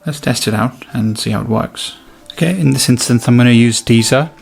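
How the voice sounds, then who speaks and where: A man talks through a product demonstration in an informal, hands-on way. His voice has a creaky, fried edge at an ordinary male pitch. He thinks aloud as he goes, with light music around the recording. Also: a little fast. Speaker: product reviewer. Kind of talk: casual unboxing and review commentary.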